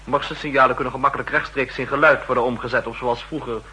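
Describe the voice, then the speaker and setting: An actor playing an eager, earnest young intelligence officer with some stubbornness, in the style of a 1960s radio drama. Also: male voice, medium pitch, steady tone, fast, pushed and bright, breathy edge, full character voice, rough recording. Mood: doubtful, stubborn. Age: young adult.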